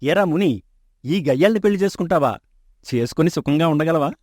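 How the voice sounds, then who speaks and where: An actor voicing a rich, kindly older merchant and father in an animated story, speaking in a calm, assured, fatherly way. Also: on the high side, fairly quick, no echo. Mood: teasing. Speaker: shop owner. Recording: dry close studio mic.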